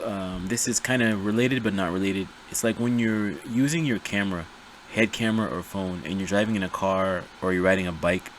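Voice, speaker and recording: Low-voiced man rambling casually. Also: a little hiss or hum. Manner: chatty, self-deprecating, wanders off topic. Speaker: reaction video host.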